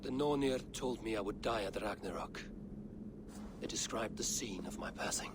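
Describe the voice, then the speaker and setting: A man's voice: on the low side, moderate pace, background noise, natural voice. Havi, brooding king of the gods, played by a man. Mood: commanding. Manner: commanding, brooding. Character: Havi, proud aging male king of the gods. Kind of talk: video game cutscene acting.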